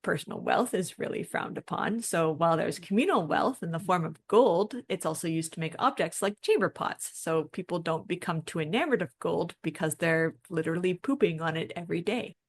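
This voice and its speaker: A woman's voice: lowish voice, lively intonation, fairly quick, few pauses, slightly rough. A woman co-hosting a podcast, explaining and discussing things in an informal, conversational way. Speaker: podcast co-host.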